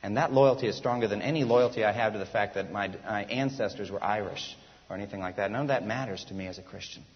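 A man's voice: on the low side, quick pace. A man preaching a sermon as pastor, earnest and emphatic, often asking his listeners rhetorical questions. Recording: dull lo-fi church hall recording.

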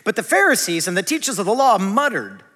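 A man in his forties preaching a conversational sermon, animated and self-deprecating. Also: sits high, fast. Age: 40s. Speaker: pastor. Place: large church hall. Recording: long hall reverb.

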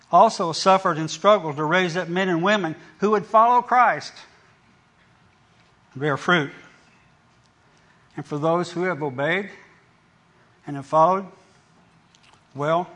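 A man's voice: high-pitched, a bit bright. Earnest man thanking a church. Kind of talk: thank-you message to a church.

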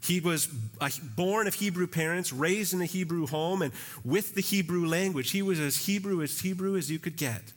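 Guy pastor preaching, chatty and animated, kinda high voice, church service. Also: normal speed. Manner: conversational, animated preaching with casual phrasing. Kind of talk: sermon.

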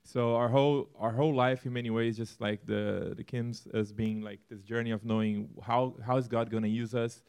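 A man from Brazil speaks with a Brazilian Portuguese accent, earnest and animated as he lays out a vision for a new church. His pitch sits in the ordinary middle range for a man, and he mixes in light self-deprecating humor. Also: normal speed, few pauses, clear, resonant tone. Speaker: church planter. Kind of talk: church presentation talk.

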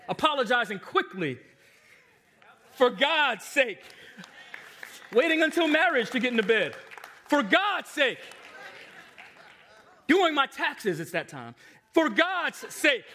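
An American man in his forties preaching a sermon as a pastor, emphatic and given to repeated refrains, moving between hushed asides and loud exclamations. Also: extremely high pitch, sing-song, slow pace, pauses often. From Long Island, New York, United States. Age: 40s.

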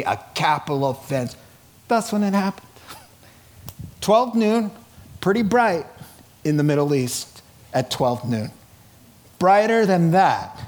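A middle-aged man preaching a sermon in a conversational, informal way, animatedly telling stories and acting out dialogue. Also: sits high. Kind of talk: sermon, reading scripture and retelling it in colloquial, dramatized asides.

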